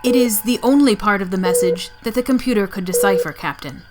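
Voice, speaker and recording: A woman's voice: medium pitch, brisk, very noisy, lightly acted. A woman playing a coolly logical starship science officer in a sci-fi audio drama, speaking formally and precisely. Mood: cool, composed.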